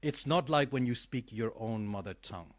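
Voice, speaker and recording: A man co-hosting a talk show in casual, reflective conversation, thoughtful and halting as he searches for words. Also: medium pitch, lively intonation.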